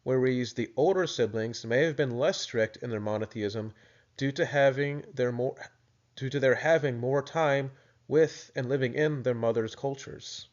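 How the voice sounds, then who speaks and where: A man's voice: mid-range voice, moderate pace, dull audio. An American man presenting a video lesson in a casual, explanatory way, often restarting his sentences. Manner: thoughtful, with frequent restarts and fillers.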